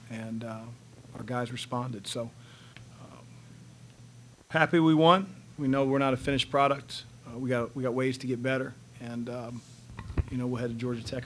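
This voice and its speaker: A man in his fifties answers reporters as a basketball coach, his delivery level and even in pitch, pausing often and restarting his sentences. He speaks into a table microphone in a press room, with a faint hiss underneath. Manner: measured, halting, many fillers and restarts. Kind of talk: post-game press conference answers. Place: press room in front of a sponsor backdrop. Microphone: table microphone on a stand.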